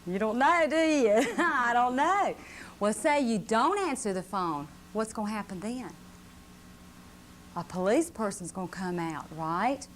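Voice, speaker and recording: A woman's voice: fairly high pitch, animated, measured pace. A woman giving a simple safety lesson to a live group of children, checking with questions as she explains. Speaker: fire safety educator. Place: live puppet show presentation. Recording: faint noise on VHS tape.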